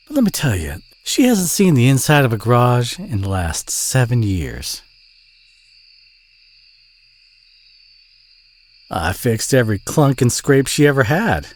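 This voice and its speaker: Casting: man to play modest, teasing Southern ranch hand for intimate audio roleplay; lowish pitch, lively melodic delivery, folksy. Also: husky, full character voice. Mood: modest, reassuring. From southern United States. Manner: folksy, chatty, gently teasing. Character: friendly, folksy, modest young country boy rancher.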